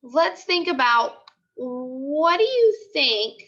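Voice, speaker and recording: A woman's voice: sits high, big pitch swings, takes it slowly, fairly bright tone. A woman teacher reading a story aloud and questioning pupils in an instructional way, often repeating her phrases. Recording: dull lo-fi audio.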